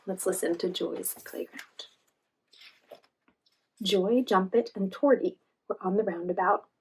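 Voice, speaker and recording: A woman's voice: mid-range voice, a little slow, slight background noise, rough recording. Lady doing storytime for little kids, asks questions then waits, encouraging teacher type. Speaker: read-aloud storytime host, teacher. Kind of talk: expressive children's picture book read-aloud with questions to young listeners.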